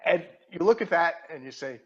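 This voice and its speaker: A man's voice: fairly high pitch, lively intonation, a little fast, slightly rough, dull audio. A man from Queens, New York with a Queens accent, a podcast guest explaining technical matters methodically with fillers and restarts. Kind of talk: casual podcast chat.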